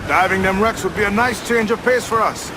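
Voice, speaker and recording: A man's voice: sits high, fairly quick, heavy background noise, natural voice. A man playing an easygoing, peaceable pirate sailor in a video game cutscene. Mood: easygoing, wondering.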